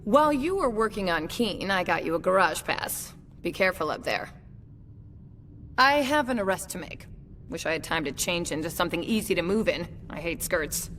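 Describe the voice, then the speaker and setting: A woman's voice: fairly low pitch, expressive, moderate pace, a little hiss or hum. A woman playing a wry, businesslike undercover internal affairs investigator in a video game, with a blunt, informal delivery. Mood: relieved, wry. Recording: voice under loud background music.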